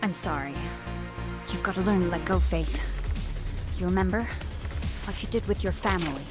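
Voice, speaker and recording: A woman's voice: lowish voice, normal speed, close to an everyday voice. An actress playing a regretful, bitter young woman in a dramatic video game scene. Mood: regretful, pleading. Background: game music.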